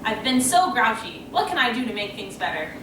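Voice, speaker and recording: A woman's voice: on the high side, very animated, fairly quick. Woman playing a grumpy badger in an echoey room. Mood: sorry. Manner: scornful, grumbling, exclaiming. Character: grumpy male badger, sleepy and sensible loner. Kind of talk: reader's theater storybook performance. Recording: echoey room, distant mic, noisy.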